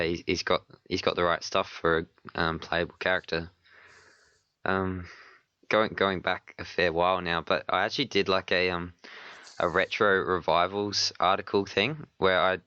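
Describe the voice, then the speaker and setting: Low-voiced man, sick and a bit hoarse. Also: pushed and bright, no room sound, muffled recording. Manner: hesitant, stammering restarts. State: sick, partly lost voice. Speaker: podcast co-host. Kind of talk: casual chat.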